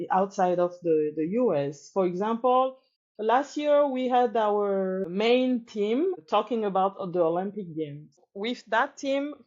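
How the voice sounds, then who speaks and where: A woman from Congo with a Congolese French accent, a teacher talking thoughtfully in a podcast interview, pausing and restarting often. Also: fairly high pitch, a little mellow, highs cut off. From Democratic Republic of Congo. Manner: thoughtful, halting with many restarts. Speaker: teacher guest.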